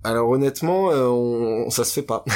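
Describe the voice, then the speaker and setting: A man's voice: mid-range voice, unhurried. A young man from Paris, telling his life story candidly in a casual interview, with many fillers and restarts. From France. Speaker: guest.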